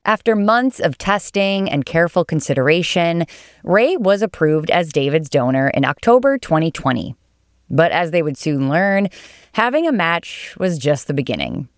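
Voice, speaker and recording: Woman narrator, clean smooth voice, measured scripted read, very dry studio sound. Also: low-pitched, big pitch swings, no room sound. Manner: polished, measured. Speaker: narrator and host.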